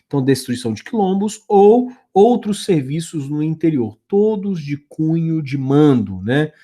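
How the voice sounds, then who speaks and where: A man's voice: a little high, very animated, unhurried, mellow, breathy edge. A man teaching a history lesson over slides, talking loosely with frequent fillers, restarts and check-ins with the class. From Brazil.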